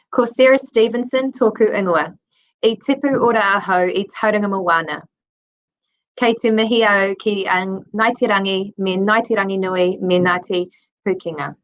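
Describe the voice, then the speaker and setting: A woman's voice: mid-range voice, muffled recording. A middle-aged woman with a New Zealand accent, presenting prepared material as a health professional at a conference.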